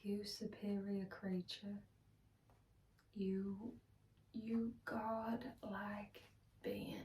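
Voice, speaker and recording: A woman playing a wounded, bitter wife in a dramatic stage monologue, speaking in halting, broken phrases. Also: medium pitch, level tone, a little mellow, a little hiss or hum. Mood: aching. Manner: halting, broken phrases with heavy pauses, at times whispered. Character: wounded, bitter Southern wife confessing to her husband. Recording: roomy echo, distant microphone.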